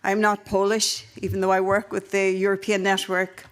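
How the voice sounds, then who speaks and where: An Irish-accented woman opens a conference session as its moderator. She speaks in a measured, reflective manner, often pausing partway through a phrase. Her pitch sits in the middle range for a woman. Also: monotone, clean tone. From Ireland. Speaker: panel moderator. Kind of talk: introductory remarks at a conference.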